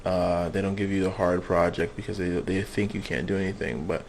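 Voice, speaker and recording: A man's voice: low voice, steady tone, fairly quick, darkish tone, a little hiss or hum. A young man interviewing a guest in a casual, rambling way, with lots of fillers. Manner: rambling questions, many fillers.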